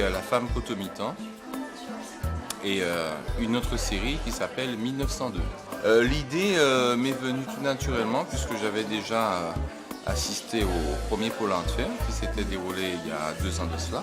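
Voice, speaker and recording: A man, an exhibiting artist, speaking hesitantly in a spontaneous interview to camera. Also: lowish voice. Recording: loud music behind.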